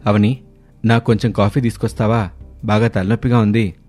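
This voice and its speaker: A man's voice: fairly low pitch, flat delivery, brisk, a little mellow, lightly acted. A man voicing a puzzled, earnest husband in a cartoon family story. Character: grown sons, puzzled husbands in a family tale. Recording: music under the voice.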